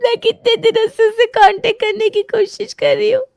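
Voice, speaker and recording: A woman's voice: very high voice, steady tone, a little fast, gravelly, no room sound, clean studio sound. A woman voicing a naive young woman in her twenties who cries and pleads as she confesses in a family drama. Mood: tearful, remorseful. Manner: pleading, apologetic, wailing. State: crying.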